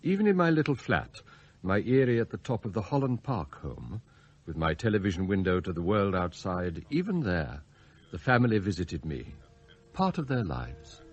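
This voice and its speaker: An actor playing a sardonic, scheming English butler in a radio drama, formal and articulate with theatrical flourishes. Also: male voice, sits low, sing-song, moderate pace, husky, muffled recording, full character voice. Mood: deferential, restrained. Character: eloquent, scheming male butler, sardonic and idealistic.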